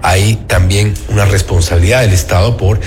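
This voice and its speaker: Halting Ecuadorian man interviewer. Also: low voice, fairly even intonation, a little mellow, a little hiss or hum. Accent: Ecuadorian Spanish. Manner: halting, many fillers and restarts. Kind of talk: interview questioning.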